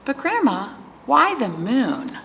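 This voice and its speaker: An earnest little storybook girl, voiced by a woman, full of affection and questions. The delivery is unhurried, with faint noise in the background. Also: fairly high pitch, wildly swooping pitch, gravelly, fairly bright tone, voice pushed up, heavily acted. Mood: wistful. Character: affectionate, earnest little girl who misses her grandma.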